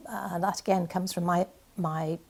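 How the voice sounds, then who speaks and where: A woman's voice: on the low side, steady tone, a little slow, creaky, darkish tone. A woman poet being interviewed, talking in a hesitant way with many fillers and restarts. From Wales. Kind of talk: casual interview chat.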